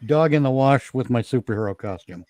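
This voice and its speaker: A man's voice: medium pitch, expressive, fairly quick, darkish tone, dry recording. A man in casual, joking chat as a panelist, wisecracking and swearing freely. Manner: wisecracking, profane. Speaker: panelist on an old-time radio listening show.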